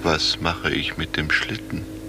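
A man's voice: rumbling low, monotone, creaky, heavily acted. An actor playing a dreamlike coachman who promises paradise in grand, incantatory, half-whispered tones in a 1950s radio play. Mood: courtly. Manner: incantatory, drawn-out, at times whispering. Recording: old radio recording under loud music.

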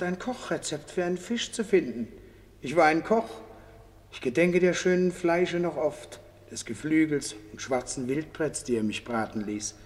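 Radio play: grateful, proud cook, declamatory, high voice, cavernous echo. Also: male voice. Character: proud, grateful male army cook among the dead. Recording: long hollow reverb.

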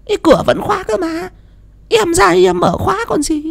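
A man voicing a frightened elderly countrywife, stammering and shrill in a storybook tale. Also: moderate pace, creaky, full character voice. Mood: indignant.

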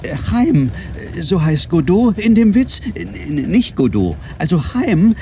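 A flustered radio-play writer who stumbles and repeats himself as he argues. His mellow voice sits a little high and swings animatedly up and down. The agitated delivery is heard on a noisy old cassette. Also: big pitch swings, creaky, exaggerated voice. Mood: agitated, explaining. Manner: agitated, halting, stammering, repeats words. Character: flustered, stammering would-be playwright turned clumsy interrogator. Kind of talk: German radio play acting.

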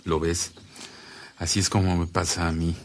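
An actor with a Mexican accent playing an earnest, reflective priest in a radio drama, speaking in a measured, solemn way. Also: male voice, sits low, level tone, close to an everyday voice. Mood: concerned, reassuring. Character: earnest, reflective Mexican priest, calming his worried sister. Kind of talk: Spanish-language radio drama acting.

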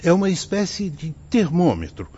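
A patient, authoritative spirit priest in a Brazilian radio novel. The voice is husky and very expressive in pitch, teaching with solemn, measured phrasing. The recording is slightly dull and lo-fi. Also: male voice, heavily acted. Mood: patient explaining. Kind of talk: dramatised radio novel acting.

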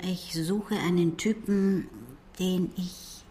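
A woman's voice: on the low side, steady tone, takes it slowly, warm tone. An impulsive, curious oceanographer in a radio crime play. She muses aloud in a frank, self-assured, conversational way over faint music. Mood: frank, musing. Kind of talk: radio crime drama dialogue.

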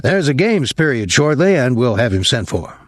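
Man playing a stiff, nervous older colonel, pitched up. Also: very animated, dry recording, clean studio sound. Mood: formal, embarrassed. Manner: formal, halting, embarrassed.

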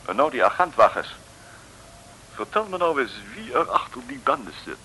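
An actor in a 1950s radio serial playing a breezy, tactless colonel who talks in a jovial, patronising way and repeats himself. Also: male voice, mid-range voice, sing-song, moderate pace, sharp tone, husky, full character voice, rough recording. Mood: chummy.

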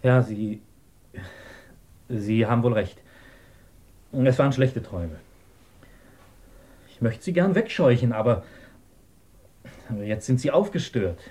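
An actor in a German radio play playing a troubled, doubting man who speaks urgently and haltingly. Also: medium pitch, expressive, dark tone. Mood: agitated, doubting. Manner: halting, urgent, repeating himself.

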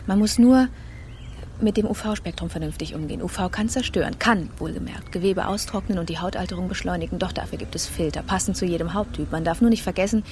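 An actress as a vain, self-assured wellness devotee in a radio play. She has a slightly low voice and speaks with earnest emphasis. Faint ambience sits behind her. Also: fast, a little mellow, a little hiss or hum, close to an everyday voice. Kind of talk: radio drama, chatty opinion monologue.

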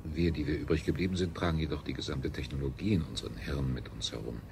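An actor playing a grave, formal scientist in a science fiction radio play. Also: male voice, a bass voice, fast talker. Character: grave, formal male scientist among survivors. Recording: clear background noise, 1970s radio production.

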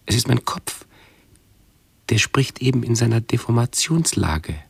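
An actor playing a brooding, hypochondriac intellectual with pedantic, ornate diction in a radio drama. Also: male voice, medium pitch, lively intonation, unhurried, gravelly, husky, no room sound, exaggerated voice. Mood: brooding, insistent. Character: brooding, hypochondriac intellectual man tormented by his body. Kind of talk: 1970s German radio drama acting.

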